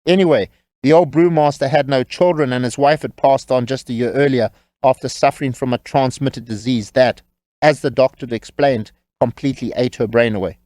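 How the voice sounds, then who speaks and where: A man narrating a scripted audiobook story in a measured, wry storytelling manner. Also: mid-range voice, steady tone, a little mellow, no echo.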